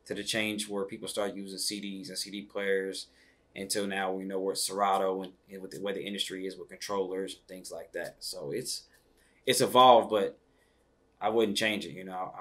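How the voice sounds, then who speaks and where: A middle-aged man, telling stories in a relaxed, rambling way as a DJ guest in an interview. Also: deep voice, normal speed. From Indianapolis, Indiana. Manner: relaxed, rambling, many "you know" fillers. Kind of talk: casual interview storytelling.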